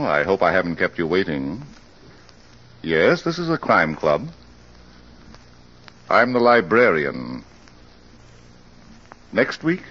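An actor playing a courteous club librarian who serves as announcer of a 1940s radio mystery. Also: male voice, low voice, a bit bright, husky, exaggerated voice. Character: courteous male club librarian, announcer taking phone calls. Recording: muffled old radio recording, faint noise.

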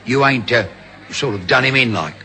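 A blustering railway guard. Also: male voice, mid-range voice, animated, normal speed, gravelly, pushed and bright, faint music behind. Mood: stubborn. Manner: blustering, ungrammatical, bossy. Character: officious middle-aged male railway guard. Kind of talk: 1950s radio drama acting.

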